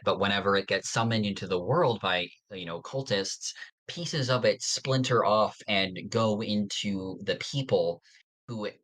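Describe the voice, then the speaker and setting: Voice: low-pitched, a bit bright. A podcast host talking casually through fan theories in an earnest, nerdy, somewhat hesitant way.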